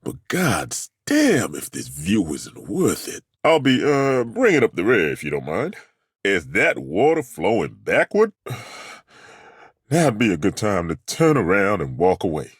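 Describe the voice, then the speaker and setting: An actor playing a gruff, weary dwarf warrior in a video game, grumbling with crude, wry humor. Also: male voice, lowish voice, wildly swooping pitch, unhurried, no room sound, clean studio sound, full character voice. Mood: dry.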